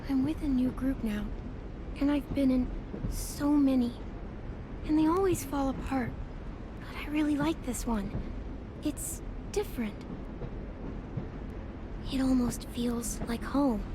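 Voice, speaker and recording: Caring, determined girl in a survival game: high pitch, slow hesitant delivery, soft-spoken, noisy ambience behind. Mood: worried. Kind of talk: naturalistic video game drama acting.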